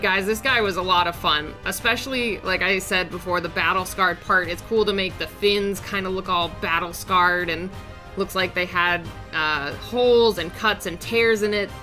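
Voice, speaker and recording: Raspy-voiced woman with a sore throat. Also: on the low side, bright, forceful tone. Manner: chatty, upbeat, rambling with fillers and small laughs. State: sore throat, raspy and deeper than usual. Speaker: art channel host. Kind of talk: casual voice-over narration of a drawing timelapse.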